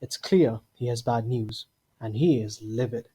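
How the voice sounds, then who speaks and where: A man's voice: mid-range voice, a little slow, mellow, husky. A man reading scripted narration for an audio drama.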